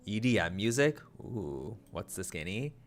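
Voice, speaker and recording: Chatty low-voiced young man streaming. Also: measured pace, faint music behind. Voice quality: flat, low-energy delivery. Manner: chatty, self-deprecating, trailing into asides. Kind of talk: casual livestream chat.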